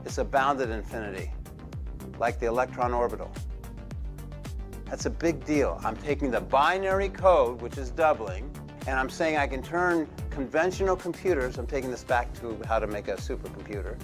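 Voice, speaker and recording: A man's voice: medium pitch, lively intonation, moderate pace. Emphatic man lecturing over music. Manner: emphatic, insistent explaining. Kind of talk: lecture clip.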